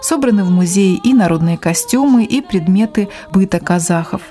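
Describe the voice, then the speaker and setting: A woman reporter reading scripted documentary narration in a measured, formal way. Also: medium pitch, animated, mellow.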